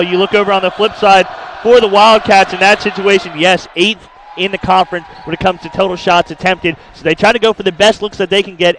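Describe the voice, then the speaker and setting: High-voiced male basketball color commentator. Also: a bit bright, a little hiss or hum, poor audio. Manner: conversational analysis, agreeing then explaining.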